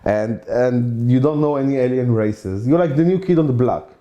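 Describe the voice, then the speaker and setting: A man's voice: mid-range voice, normal speed, mellow, highs cut off. A man from Israel, around forty, with an Israeli accent, hosting his own channel and talking in a loose, chatty way, with lots of "you know" and repeated points for emphasis. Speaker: channel host. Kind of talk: casual opinion monologue.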